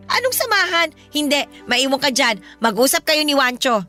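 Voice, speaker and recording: A woman's voice: high voice, very animated, moderate pace, pushed and bright, heavily acted. An actress playing a middle-aged, meddling mother with a bossy, breezy manner in a Filipino radio drama. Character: meddling, brisk mother on her birthday. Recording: music bed under the voice.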